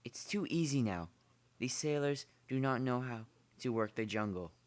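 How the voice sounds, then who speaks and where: A man's voice: mid-range voice, expressive, moderate pace, no echo, close to an everyday voice. A man playing an urbane, smug aristocratic general in an amateur drama, speaking in a formal, genteel manner. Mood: suavely persuasive. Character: urbane aristocratic male general who hunts people for sport. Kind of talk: amateur short story dramatization.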